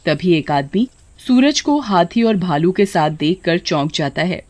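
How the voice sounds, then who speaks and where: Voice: medium pitch, animated, a little slow, a little mellow, no room sound. A narrator reading a scripted children's story in a dramatic storytelling manner.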